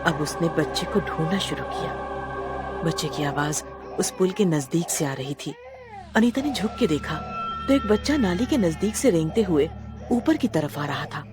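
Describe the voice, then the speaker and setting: A woman's voice: fairly low pitch, animated, moderate pace. A woman reading a scripted horror story as storyteller, with dramatic, suspenseful delivery. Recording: loud music bed behind narration.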